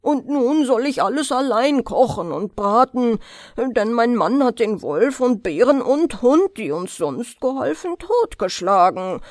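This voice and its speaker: A woman's voice: a little high, sing-song, a little slow, a little mellow, dry recording, studio quality. A woman voicing a fat, overburdened giantess housewife who complains in a storybook reading. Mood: plaintive.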